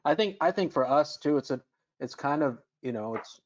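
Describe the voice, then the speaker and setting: A man's voice: fairly high pitch, animated, moderate pace. A man chatting casually and loosely as a film crew guest in a panel conversation. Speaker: film lighting or grip crew, interview guest. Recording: muffled lo-fi online call.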